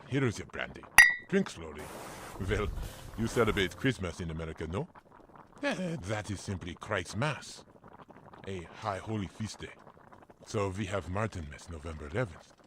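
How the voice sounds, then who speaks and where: An actor playing a kindly, patient German village priest in a family audio drama, gentle and measured in manner. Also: male voice, sits low, background noise. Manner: gentle, measured, formal, explanatory.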